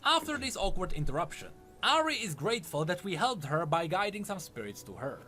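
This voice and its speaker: High-pitched man narrating, very animated delivery. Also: fairly quick, faint music behind. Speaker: lore video narrator. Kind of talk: scripted narration.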